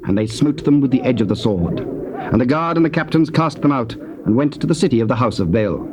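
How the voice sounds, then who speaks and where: Solemn male scripture narrator, mid-pitched. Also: animated. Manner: solemn, measured storytelling. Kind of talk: scripted narration of dramatized King James scripture. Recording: dull, noisy old cassette tape.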